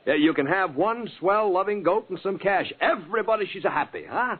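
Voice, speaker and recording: An actor playing a hesitant, cajoling American fellow trying to strike a deal, in old radio comedy style. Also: male voice, high voice, lively intonation, a little fast, husky, phone-quality sound. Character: tipsy, fast-talking, smooth-dealing American fellow on an errand. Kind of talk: 1940s radio comedy acting. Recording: scratchy old radio, thin band, hiss.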